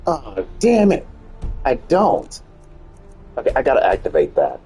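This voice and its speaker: Husky-voiced streamer, chatty and animated. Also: medium pitch, unhurried, soft background music. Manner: chatty, talks to self while playing. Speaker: gaming streamer. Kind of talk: casual gameplay commentary.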